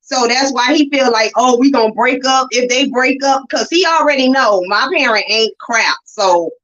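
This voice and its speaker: A woman calls into a live chat show and tells her side in a loose, informal way. Her voice sits fairly high, and it comes through a dull, lo-fi line. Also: normal speed, fairly bright tone. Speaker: caller. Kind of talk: casual chat. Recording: dull, lo-fi call audio.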